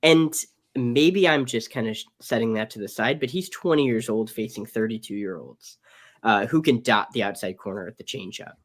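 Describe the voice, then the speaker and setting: A man's voice: medium pitch, moderate pace. A man co-hosting a casual baseball podcast over a video call, strained as if losing his voice, talking through his analysis earnestly. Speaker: co-host, prospect analyst. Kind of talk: casual baseball prospect discussion.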